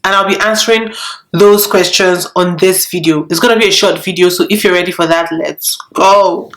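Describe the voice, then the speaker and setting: A woman's voice: medium pitch, fairly bright tone. A woman of twenty-one with a Nigerian accent, chatting casually to camera as a YouTuber, rambling a little with frequent restarts.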